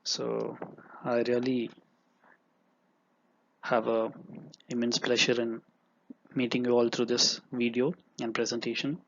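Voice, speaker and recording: A man's voice: mid-range voice, level tone, takes it slowly, creaky, long pauses, a bit bright, slight background noise. A young man whose first language is Tamil, teaching economics in an earnest lecture with frequent fillers and repeats. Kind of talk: lecture with examples. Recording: dull lo-fi recording.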